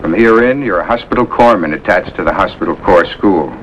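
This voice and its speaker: An actor playing a gruff but friendly American military instructor in a 1950s TV drama. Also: male voice, low voice, animated, fairly quick, slight background noise. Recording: muffled 1950s TV soundtrack, loud music.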